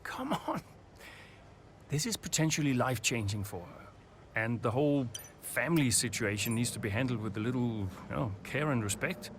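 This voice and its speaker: An actor playing a tactful, caring racing team boss in a video game drama, sincere and persuasive. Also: male voice, medium pitch, animated, moderate pace, a little hiss or hum, natural voice. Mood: defensive, careful.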